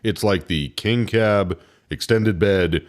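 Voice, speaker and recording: A man's voice: on the low side, takes it slowly. A man co-hosting a podcast, telling a workplace story casually and animatedly, with casual swearing. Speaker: podcast co-host, service advisor. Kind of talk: casual chat.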